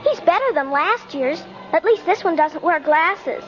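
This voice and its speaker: A very high-pitched little girl on a muffled old radio recording. She is precocious and skeptical, stating things bluntly and literally in the style of a 1950s radio play. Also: lively intonation, a little slow, a bit bright, faint music behind, heavily acted. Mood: matter-of-fact. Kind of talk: 1950s radio drama acting.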